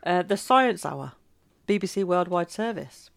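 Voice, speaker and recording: A woman's voice: on the low side, animated, unhurried, creaky. A woman co-hosting an educational podcast, reading notes and then chatting informally. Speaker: podcast co-host. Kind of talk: casual educational podcast chat.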